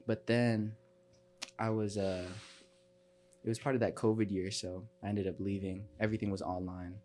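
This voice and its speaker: Young man from Chicago, lowish voice. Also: little pitch movement, moderate pace, a little mellow. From Chicago, United States. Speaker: podcast guest, dancer and performer. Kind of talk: casual interview chat.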